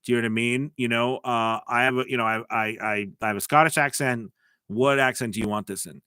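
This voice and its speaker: An American man talks through a live stream, coaching viewers and reading their chat messages aloud in a loose, rambling flow full of restarts and fillers. His voice sits at an ordinary middle pitch. The sound is dry and close, with almost no room around it. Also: steady tone, fairly quick, pushed and bright, clear, resonant tone, dry recording. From United States. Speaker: host of a live voice-over business stream.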